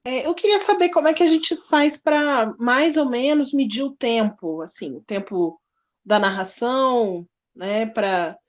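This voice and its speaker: A woman's voice: on the high side, a little slow. A Brazilian woman speaking casually as a student, tentatively piecing a question together aloud. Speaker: workshop student.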